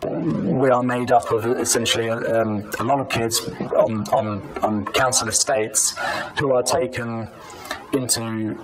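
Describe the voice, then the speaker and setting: A British man answering interview questions in a measured, halting way, repeating words, his voice pitch-altered to disguise it. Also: fairly even intonation, low-quality recording. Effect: pitch-shifted disguised voice. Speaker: anonymous professional footballer being interviewed.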